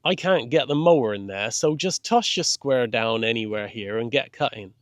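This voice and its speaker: A man's voice: mid-range voice, expressive, moderate pace, no echo, studio quality, close to an everyday voice. A man voicing a cheery middle-aged groundskeeper in a children's audiobook, kindly and easygoing, with chirpy colloquial speech. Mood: cheery, reassuring. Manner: colloquial, chirpy, dropped grammar. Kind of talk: children's audiobook character dialogue.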